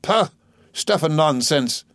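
A man's voice: medium pitch, moderate pace, no room sound, studio quality, pitched above the performer's own voice, close to an everyday voice. A man voicing a fierce, blunt elderly aunt who scolds with indignation. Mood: scolding.

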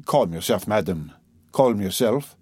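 A man playing a calm, sympathetic official of about fifty in an audiobook, measured and quietly authoritative. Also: on the low side, breathy edge, no echo. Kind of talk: dramatised audiobook character reading.

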